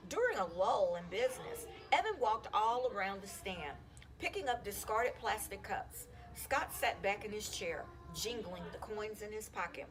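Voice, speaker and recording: A woman reading a children's chapter book aloud in an expressive, storytelling style. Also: medium pitch, normal speed, soft background music, low-quality recording. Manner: animated, acts out dialogue. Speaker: school counselor reading aloud to students.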